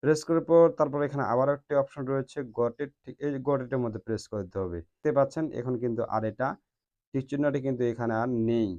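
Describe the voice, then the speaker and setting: A man's voice: medium pitch, fast talker, a little mellow, muffled recording. A man hosting a step-by-step phone tutorial in a repetitive instructional way. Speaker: tech tutorial host.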